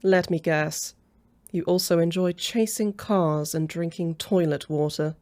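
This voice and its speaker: A woman's voice: lowish voice, fairly even intonation, unhurried, darkish tone, lightly acted. A woman playing a haughty, possessive aristocratic female vampire in a roleplay audio drama, flirtatious and mocking, with a condescending drawl. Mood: scornful. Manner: drawling, taunting, chuckling condescension.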